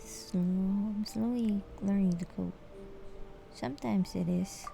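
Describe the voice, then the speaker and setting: A woman's voice: mid-range voice, steady tone, slow, gravelly, warm tone, faint music behind. A woman streaming a game, giving casual, hesitant, musing commentary as she plays. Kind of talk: casual live gameplay commentary.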